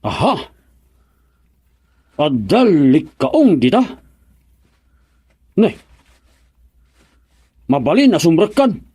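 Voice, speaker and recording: A man acting an eager rustic villager in an Ilocano radio comedy drama, with an Ilocano accent and animated, drawn-out calls. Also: a little high, slightly rough, heavily acted.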